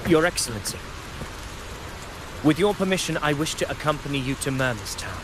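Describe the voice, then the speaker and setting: A man's voice: on the high side, sing-song, fairly quick, noisy background. A man voicing a loyal warrior in a video game, respectful, formal and restrained. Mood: earnest, solemn. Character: earnest loyal male warrior, respectful and dutiful.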